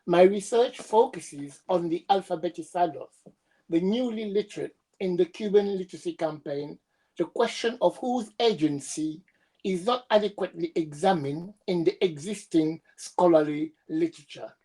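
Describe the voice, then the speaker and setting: A young woman with a cold, presenting her doctoral research over a video call in a steady, measured reading voice with small hesitations. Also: on the low side. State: has a cold. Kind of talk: reading a prepared academic presentation.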